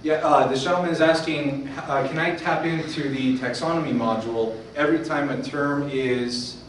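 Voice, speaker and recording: A man's voice: fairly high pitch, normal speed. A man hosting a community tech meetup, speaking casually and informally to the crowd as he emcees. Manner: casual, repeats audience questions for the room. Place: meetup room with an audience. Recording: echoey room from far off, faint noise.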